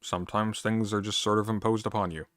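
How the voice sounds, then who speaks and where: A man's voice: fairly low pitch, flat delivery, brisk, a bit bright, no room sound. A man in his thirties debating online, dry and dismissive, repeating himself when cut off. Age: 30s. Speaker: streamer in a debate.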